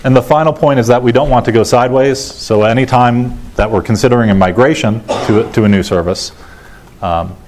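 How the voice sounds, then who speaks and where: A middle-aged American man giving a technical conference talk in a measured, matter-of-fact way, pausing often as he goes. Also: mid-range voice, darkish tone, slight background noise. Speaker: conference keynote speaker. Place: large lecture auditorium, indoors. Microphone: lapel mic.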